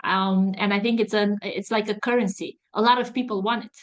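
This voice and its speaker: A woman's voice: medium pitch, steady tone, brisk. A woman from Romania, a foresight consultant, speaking as a podcast guest in careful, hesitant English with many fillers. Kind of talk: podcast interview, video call.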